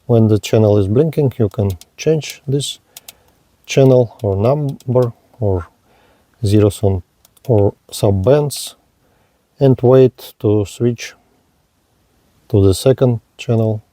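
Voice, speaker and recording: Slow, careful man demoing a radio. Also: mid-range voice, mellow, dry recording. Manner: halting, careful, with pauses and fillers. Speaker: radio reviewer. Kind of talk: slow hands-on product demo narration.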